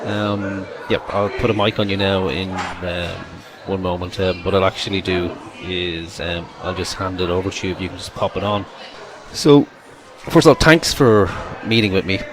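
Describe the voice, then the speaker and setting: A man's voice: low voice, steady tone, moderate pace, background noise. A man hosting a mock on-location report, chatting loosely with plenty of fillers, indoors at a cultural centre. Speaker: host. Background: orchestra music playing.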